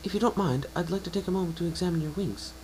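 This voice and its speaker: A man's voice: high voice, racing, a little mellow, slight background noise, pitched above the performer's own voice, close to an everyday voice. A man voicing an earnest, bookish young female unicorn, polite and easily flustered, in a fan fiction reading. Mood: gentle, earnest. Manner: eager, polite, explanatory.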